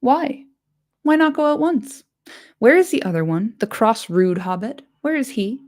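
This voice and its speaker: A woman voicing a wretched, greedy male creature in a hissing, high, whiny voice that slips into whispers. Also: lively intonation, measured pace, a little mellow. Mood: wheedling.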